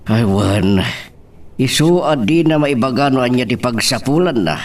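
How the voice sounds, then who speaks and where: A man's voice: medium pitch, animated, moderate pace, lightly acted. A man with an Ilocano accent playing a scandal-sharing village gossip in a radio drama. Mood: gossipy. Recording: off-mic, distant pickup.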